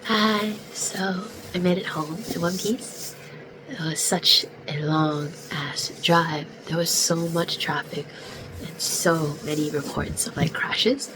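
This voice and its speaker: A young woman in her twenties chatting casually in a vlog, congested and close to losing her voice, filling her talk with "like". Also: low voice. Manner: chatty, venting, many "like" fillers. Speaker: vlogger. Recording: noisy background.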